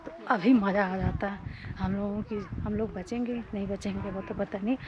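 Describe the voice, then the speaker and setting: Woman in her thirties, excitable travel vlogger, selfie camera, dull audio, loud wind behind. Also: mid-range voice, normal speed. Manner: chatty and excitable, pointing out sights. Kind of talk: casual travel vlog narration. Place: outdoors on a sunny volcano hiking trail. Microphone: camera held at arm's length. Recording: dull outdoor phone audio with wind noise.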